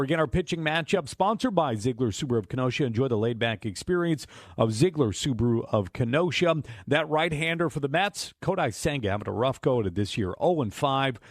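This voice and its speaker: A man's voice: medium pitch, dry recording, clean studio sound. A man hosting a baseball radio pregame show, reading scripted updates and sponsor spots. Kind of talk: scripted sports broadcast narration. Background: faint music.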